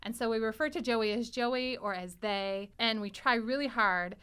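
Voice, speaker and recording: A woman hosts a casual group chat. Her voice is fairly high and smooth. She talks in an animated, playful way and laughs readily. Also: moderate pace. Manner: animated, joking, laughs easily. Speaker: podcast host.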